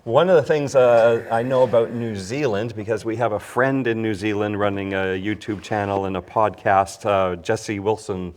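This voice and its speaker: A man's voice: on the low side, steady tone, moderate pace, few pauses. A man from Canada hosting a casual whiskey chat, introducing his guests in a chatty way. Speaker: host. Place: indoors at a teaching academy.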